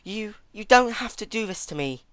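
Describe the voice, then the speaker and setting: A frightened royal pony mare from a dark storybook tale, played by a man. The voice jumps high and tense, stammering through urgent pleas with big swings in pitch. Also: lively intonation, normal speed, no room sound. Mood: terrified pleading. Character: terrified, pleading royal pony princess, adult female.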